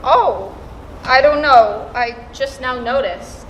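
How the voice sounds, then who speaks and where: Woman acting mild polite high school girl, slow talker, school play, echoey and noisy recording. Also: fairly bright tone. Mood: mild, modest. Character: modest, well-liked high school homecoming queen. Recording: echoey stage with clear noise.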